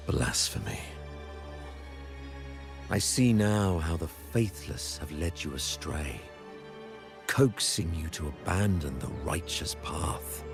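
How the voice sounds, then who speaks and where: A very deep-voiced game prophet, solemn and zealous. He preaches slowly and formally, with grand, swelling intonation over prominent music. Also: lively intonation, gravelly, heavily acted. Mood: disdainful. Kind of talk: video game voice acting.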